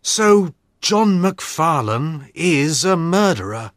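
A man voicing an earnest, baffled gentleman, a detective's companion, in a dramatised audiobook. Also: sits high, huge pitch swings, extremely slow, no echo, studio quality, voice pushed up, full character voice. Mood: sad.

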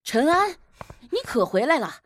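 A young gentleman of an old Chinese household, played by a woman, speaks with lively, swinging intonation over music. He is an exasperated husband, petulant and self-righteous, in period audio drama style. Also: high voice, a little slow, dry recording, clean studio sound, exaggerated voice. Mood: fed up.

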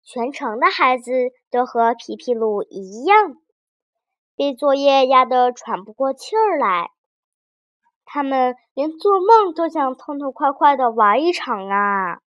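A woman's voice: high voice, unhurried, no room sound, muffled recording. A woman narrating a children's fairy tale expressively, engaging young listeners as she goes. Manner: animated, addresses young listeners with questions. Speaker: children's storyteller.

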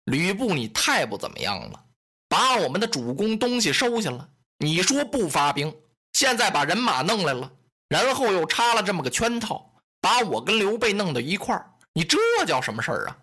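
A fretful, scheming general mutters his doubts in a low, grumbling voice, played by a storyteller. The tone is husky and bright. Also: very animated, normal speed, full character voice. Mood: resentful. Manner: grumbling, suspicious. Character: anxious scheming rival general.

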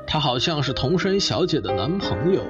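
A man's voice: fairly high pitch, big pitch swings. An actor playing a skeptical middle-aged father who objects conversationally in a Chinese audio detective drama. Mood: puzzled. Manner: doubting, conversational, quick to object. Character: skeptical middle-aged father, matter-of-fact relative in family debate. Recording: slightly muffled, music underneath.

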